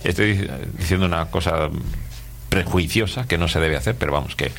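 Very deep-voiced Castilian Spanish man, slightly creaky. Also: brisk, fairly bright tone, a little hiss or hum. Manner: chatty, rambling, often ends phrases with a questioning tag. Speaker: radio host interviewing schoolchildren. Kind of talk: casual conversational interview.

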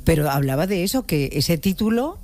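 A woman's voice: on the low side, big pitch swings, normal speed, darkish tone. A woman hosting a radio show, chatting casually with her colleagues. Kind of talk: casual studio chat.

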